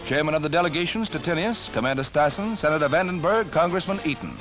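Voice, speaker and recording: A man's voice: fairly high pitch, lively intonation, fast talker. An American man reading scripted 1940s wartime newsreel narration in a dramatic, emphatic announcer's delivery. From United States. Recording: dull 1940s newsreel soundtrack. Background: orchestral music.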